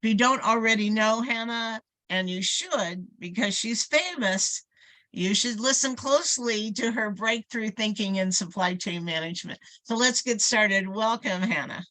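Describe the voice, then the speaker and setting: A woman's voice: mid-range voice, a little slow, sharp tone, low-quality recording. A woman hosting an interview podcast, introducing and questioning a guest. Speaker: podcast host.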